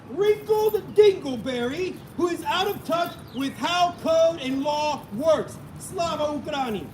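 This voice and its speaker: A man from Miami at a street protest, switching between rambling casual talk and loud, declaiming proclamations. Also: extremely high pitch. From Miami, United States. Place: outdoors on a city street. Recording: distant voice, loud street and traffic noise. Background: traffic and urban ambience.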